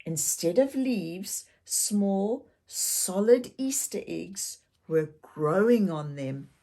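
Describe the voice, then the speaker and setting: Female children's story reader, unhurried and expressive, speaking directly to young listeners. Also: medium pitch. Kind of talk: animated read-aloud storytelling for young children.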